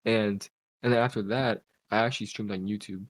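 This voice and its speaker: Young man, twenties, low voice, very fast, rambling with lots of "like", dry close sound. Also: fairly even intonation, no room sound. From Cuba, raised in Pennsylvania. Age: 20s. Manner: rambling, heavy use of "like". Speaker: podcast guest, content creator. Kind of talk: casual storytelling. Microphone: podcast call mic.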